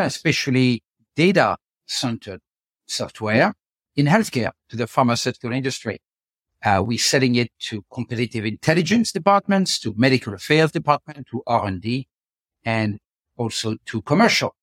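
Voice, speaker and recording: French-accented man hosting, halting. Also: mid-range voice, big pitch swings, fairly bright tone, no echo. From France. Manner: halting, many fillers and restarts. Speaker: podcast host. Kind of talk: casual interview chat.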